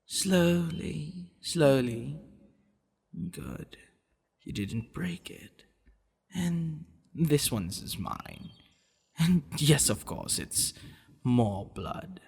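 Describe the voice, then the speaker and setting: A man playing a doting, possessive vampire lover in an ASMR roleplay, talking tenderly and teasingly in a husky, gravelly voice. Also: medium pitch, full character voice. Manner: tender, soothing, teasing, intimate. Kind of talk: ASMR boyfriend roleplay acting.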